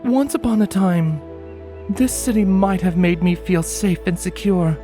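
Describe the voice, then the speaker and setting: Lady playing a wary warrior mare, dark smooth tone, bossy and formal, storybook drama with faint music. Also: lowish voice, natural voice. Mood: grim. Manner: formal, commanding, measured. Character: stern, wary female pony, ex-soldier fugitive. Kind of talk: dramatic audiobook acting.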